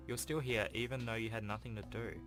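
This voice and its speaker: A man's voice: on the low side, steady tone, a little fast, close to an everyday voice. A man voicing a smug, condescending teenage schoolboy rival from a visual novel, sneering and dismissive. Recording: music behind the voice.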